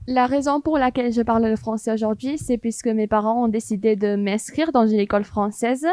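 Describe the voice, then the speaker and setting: A teenage girl explains with some hesitation and fillers. Her voice sits slightly high. The recording is dry and close. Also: steady tone, fairly quick, darkish tone, dry recording.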